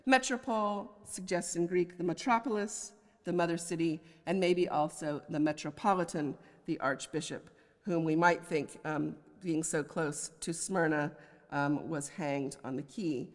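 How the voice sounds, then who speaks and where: The long reverb of a lecture hall surrounds an American woman's slightly low voice. She lectures like a professor of poetry, in a scholarly way that makes room for informal, conversational asides. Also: unhurried. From United States. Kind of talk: academic lecture. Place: large indoor lecture hall.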